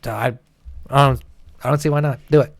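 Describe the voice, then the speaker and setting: Man, gaming co-host, quick pace, dry close sound, casual, profane, joking. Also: mid-range voice, steady tone, vocal fry, dry recording. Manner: profane, joking, mimics imagined speakers in banter. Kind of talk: casual chat about video games.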